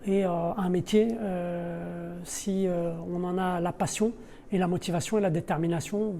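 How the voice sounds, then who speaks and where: A man's voice: high voice, fairly even intonation, moderate pace, creaky, dark tone, slight background noise. A middle-aged man, not a native French speaker by birth, explaining his work in an interview, searching for his words and repeating them. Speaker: deputy sporting director of a football club. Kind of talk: podcast interview, spontaneous explanation.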